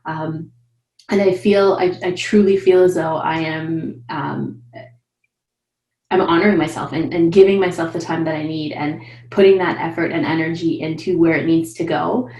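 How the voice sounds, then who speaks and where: A woman in her thirties, a coaching client, talking in an animated, upbeat way over a video call from a room at home. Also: sits low, normal speed, echoey room, low-quality recording. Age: 30s. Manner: animated, upbeat, says she is loud. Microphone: video call webcam.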